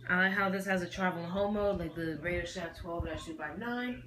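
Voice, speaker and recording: A hobbyist presenter with a feminine-sounding voice giving a casual, halting, rambling show-and-tell in a noisy amateur home recording. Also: mid-range voice, sharp tone.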